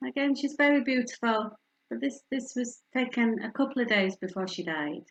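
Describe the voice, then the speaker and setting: A woman's voice: on the high side, lively intonation, moderate pace, dull audio. A middle-aged woman from the north of England, her Yorkshire accent plain, talks to a community group in a candid, personal way. She leans on fillers and restarts as she tells her story. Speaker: speaker from a hospice charity. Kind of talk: community talk with slides.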